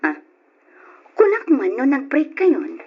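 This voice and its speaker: A woman's voice: high voice, wildly swooping pitch, slow pace, breathy edge, narrow, tinny audio, heavily acted. An actress with an Ilocano accent playing a blunt, gossipy older boarding-house woman in an old radio drama. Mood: surprised, nosy.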